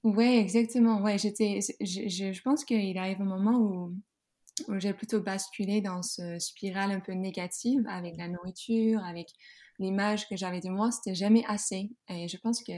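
A woman's voice: medium pitch, mellow. A woman from the Netherlands speaking French with a Dutch accent, telling her story as a podcast guest, hesitant with many fillers and restarts. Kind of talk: podcast interview, personal story.

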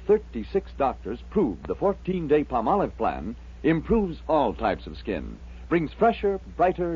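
A man's voice: medium pitch, breathy edge. An American man announcing in a polished 1940s radio sales style, on a muffled old recording. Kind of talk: 1940s radio advertising and sign-off.

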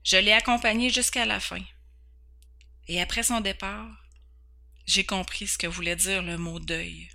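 A woman's voice: mid-range voice, fairly even intonation, a little slow, vocal fry, long pauses, sharp tone. A woman in her forties from Quebec with a Québécois French accent, hosting a podcast and introducing herself in an earnest, candid way, stumbling over words now and then. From Quebec, Canada. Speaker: podcast host. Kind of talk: personal introduction.

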